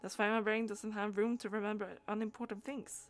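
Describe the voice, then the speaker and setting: A woman's voice: medium pitch, flat delivery, a little fast, natural voice. A woman reading out a wordy, bookish game character who proudly loves old lore, declaiming with the odd stumble. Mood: delighted, proud.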